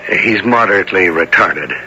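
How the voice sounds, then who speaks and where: A worried working-class father in a seventies radio drama. His lowish voice is halting and reluctant, with a sharp tone over faint noise. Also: highs cut off. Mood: hesitant, worried. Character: hesitant, worried working man, father of a boy. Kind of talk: 1970s radio drama acting.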